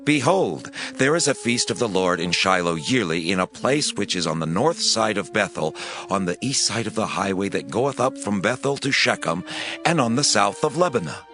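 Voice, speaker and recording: Bright, low, scheming Israelite elder. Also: male voice, expressive, moderate pace, soft background music. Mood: announcing.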